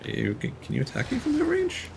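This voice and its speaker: A man's voice: extremely high pitch, huge pitch swings, a little fast, gravelly. A man streaming a game, rambling through live commentary and correcting himself often, with casual swearing. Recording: noisy background.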